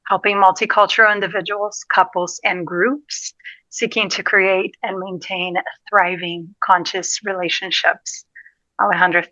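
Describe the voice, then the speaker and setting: A woman's voice: mid-range voice, bright, forceful tone, no room sound, muffled recording. A woman hosting a podcast, introducing her guest in a friendly way. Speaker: podcast host. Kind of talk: podcast interview.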